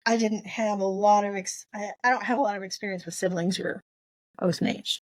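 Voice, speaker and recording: Woman podcast co-host, forties, casual book talk, halting and reflective delivery, close dry recording. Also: medium pitch, steady tone, dry recording.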